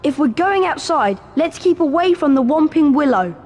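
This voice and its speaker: A woman's voice: sits high, animated, moderate pace, darkish tone. A woman playing a concerned young schoolchild in a video game, with long reverb on the voice. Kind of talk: scripted video game dialogue.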